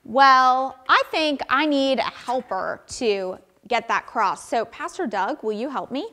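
A young woman pastor giving a children's sermon in a church sanctuary, animated and speaking to children, picked up by a camera across the room. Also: high voice, slow. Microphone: far camera.

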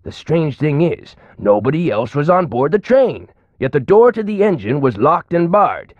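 A man's voice: on the high side, sing-song, moderate pace, no echo, highs cut off. An actor playing a folksy, chatty old man in his nineties with an American accent, rambling through stories in a video game. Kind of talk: video game character acting.

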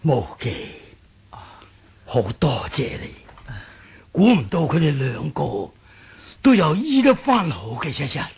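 A man playing an aged, kindly martial arts grandmaster in a radio drama, with a Hong Kong Cantonese accent, a gravelly voice and a sighing delivery. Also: fairly high pitch, big pitch swings, a little mellow, a little hiss or hum, exaggerated voice. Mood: relieved, wistful. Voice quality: husky, gravelly old voice. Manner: slow, sighing.

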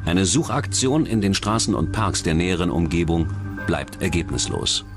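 A man's voice: low voice, normal speed, music in the background. A man reading scripted true-crime documentary narration.

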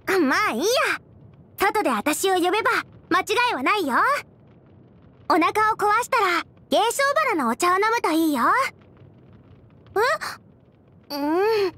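A voice actress playing a cheerful, eager teenage girl cook in an anime fantasy game, chatty and expressive. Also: extremely high pitch, moderate pace, exaggerated voice. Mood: casual, helpful. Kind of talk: animated anime game character acting.

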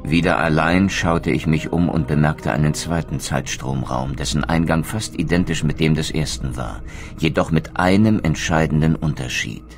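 A man voicing a brooding, noble undead vampire wraith who narrates his quest in a solemn, measured, literary manner for a video game. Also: extremely low pitch, over music. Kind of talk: scripted dramatic game narration.

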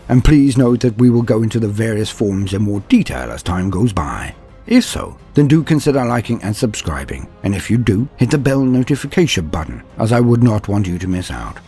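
A man hosting a scripted lore narration, with a husky voice and a theatrical, florid delivery full of drawn-out emphasis. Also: fairly low pitch, a little mellow. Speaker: host and narrator of a tabletop wargame lore show. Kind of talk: scripted narration, video essay. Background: background music.

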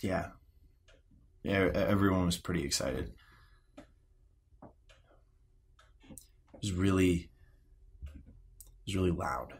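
An actor playing a guarded, easily frustrated sixteen-year-old boy in an audio drama, speaking in a halting, hesitant way. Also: deep voice, gravelly, breathy edge, full character voice. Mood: guarded, reluctant. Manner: halting, mumbled, hesitant teenage speech. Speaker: actor playing a therapy patient. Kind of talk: naturalistic audio drama dialogue.

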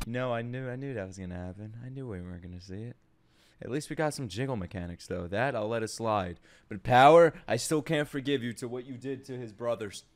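A young man reacting to an anime from his home streaming setup, his voice leaping up and down as he gets worked up. He swears freely and bursts into shouts and laughter. He sits close to a desk mic on a boom arm. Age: young adult. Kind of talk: casual reaction commentary. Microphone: boom-mounted desk mic, wearing headphones.

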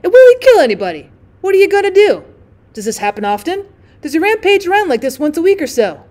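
A woman voicing a jocular traveling salesman who grows anxious, talking loudly and high. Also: sing-song. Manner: chatty, quick to grow anxious.

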